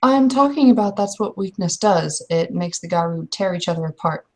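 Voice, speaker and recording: A woman's voice: on the low side, animated, normal speed, natural voice. A woman playing a blunt, impatient werewolf in a tabletop roleplay, arguing and storytelling. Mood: grave. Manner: argumentative, storytelling, exasperated.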